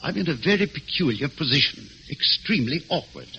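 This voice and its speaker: An actor playing an eager, evasive gentleman client in a 1940s radio drama, formal and hesitant in manner. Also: a little high, lively intonation, a little fast, vocal fry, full character voice. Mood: earnest, uneasy. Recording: dull, noisy vintage radio recording.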